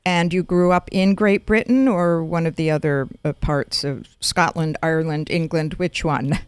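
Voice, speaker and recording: A woman hosts a radio interview show, her voice smooth and fairly low. The sound is dry and close on a studio mic, and she phrases her questions deliberately, often going back over her words. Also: steady tone, dry recording. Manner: deliberate, repeats words when asking.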